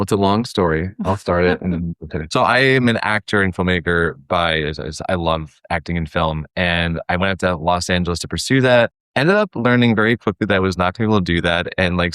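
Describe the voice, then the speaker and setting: A man's voice: low-pitched, a bit bright, clear, resonant tone, no room sound. An American man, a podcast guest, telling his story in a casual, informal way peppered with "like". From US East Coast. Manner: informal, heavy use of "like". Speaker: podcast guest, actor and filmmaker.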